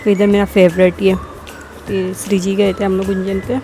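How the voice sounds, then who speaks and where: A woman's voice: medium pitch, completely flat delivery, normal speed, dark tone, faint music behind. A young woman with a sore throat narrating her family vlog as a casual voice-over, rambling and correcting herself as she goes. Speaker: vlogger.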